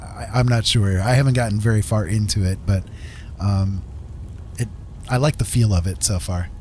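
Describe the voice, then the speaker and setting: A man's voice: lowish voice, steady tone, moderate pace, a little mellow, slight background noise. A middle-aged man livestreaming a game, chatting casually in a friendly, musing, polite way. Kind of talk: casual chat while gaming.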